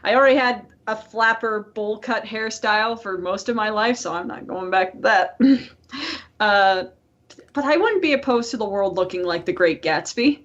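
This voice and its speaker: A woman's voice: mid-range voice, normal speed, a bit bright, dull audio. A woman co-hosting a podcast in casual chat, talking in a chatty, informal way and swearing freely. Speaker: podcast co-host.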